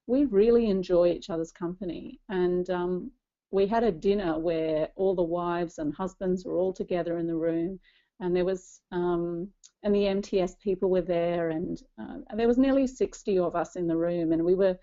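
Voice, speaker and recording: A woman's voice: fairly low pitch, fairly even intonation, normal speed, dark tone, muffled recording. A woman in her late forties giving a personal, reflective talk as a co-presenter, earnest and conversational. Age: late 40s. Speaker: church ministry leader speaking as co-presenter.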